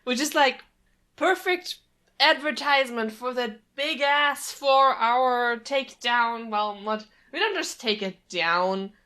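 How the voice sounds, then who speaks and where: Podcast co-host, female, late twenties: high, very bright voice, blunt and sardonic in casual chat. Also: slow. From Germany.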